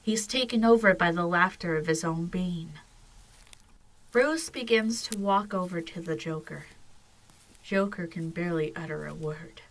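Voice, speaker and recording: A woman narrates a dramatic story with a solemn, measured delivery. Her voice sits a little low for a woman, and she reads slowly, as scripted narration for an audio drama. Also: low-quality recording.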